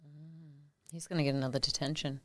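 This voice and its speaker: A middle-aged woman, originally from New Zealand, speaks in a very low, husky smoker's voice. She co-hosts a casual podcast and drops dry, wry quips. The recording is close and dry. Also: flat delivery, a little fast, no room sound. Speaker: podcast co-host. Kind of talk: casual chat.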